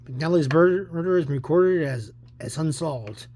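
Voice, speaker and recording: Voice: mid-range voice, moderate pace, mellow, lightly acted. A reader voicing a formal police inspector in a comic book story, polite and official. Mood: resigned, official.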